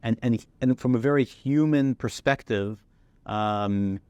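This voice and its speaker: A young man from Israel giving measured, reflective personal testimony about his time as a soldier. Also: mid-range voice, a little mellow, clean tone, dry recording. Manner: measured, reflective storytelling with occasional "um". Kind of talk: personal testimony, clip from a talk.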